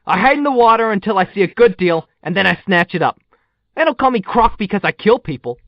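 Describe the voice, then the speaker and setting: A man's voice: high-pitched, expressive, quick pace, dry recording, highs cut off. An actor in an audio drama playing a scrappy, defensive businessman who argues with indignation. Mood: indignant. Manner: indignant, argumentative. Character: defensive, scrappy male businessman nicknamed for fierce dealing.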